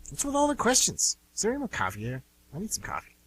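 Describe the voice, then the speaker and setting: A woman playing a secretive, touchy younger brother with a husky voice and evasive, hesitant delivery in an amateur radio drama. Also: medium pitch, huge pitch swings, quick pace, slight background noise, low-quality recording. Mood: defensive, irritable. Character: shifty, defensive younger brother, secretive grown man.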